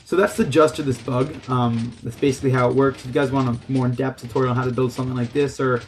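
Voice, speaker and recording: Guy explaining a game thing off the cuff, kinda hesitant, average pitch, bit of noise and clicks behind him. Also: level tone, quick pace. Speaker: gaming tutorial host. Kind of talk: casual unscripted game tutorial commentary.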